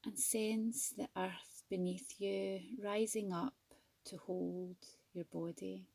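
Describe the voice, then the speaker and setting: A woman leads a guided meditation at a very unhurried pace. Her voice is soft and husky, with a creaky edge. She sounds gentle and soothing, leaving measured pauses between phrases. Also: medium pitch, steady tone, no echo. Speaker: yoga nidra teacher.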